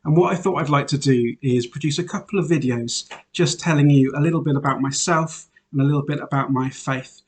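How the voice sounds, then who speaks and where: A man's voice: fairly high pitch, fairly quick, hardly any pauses. A man, a priest born in Wales and raised in England, giving an informal, chatty self-introduction to his parishioners with frequent "uh" fillers. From Wales, raised in Northamptonshire, England. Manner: relaxed and chatty, many "uh" fillers, light laughs.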